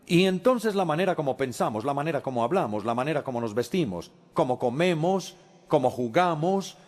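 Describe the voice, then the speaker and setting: A man giving a lecture as a Spanish voice-over, measured, with frequent pauses and hesitations. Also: fairly high pitch, darkish tone.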